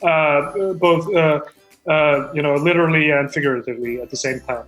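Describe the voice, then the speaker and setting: A man in a comedic table read, halting and self-important, defensively presenting his screenplay and reading stage directions. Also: a little high, a bit bright. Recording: roomy echo, music behind.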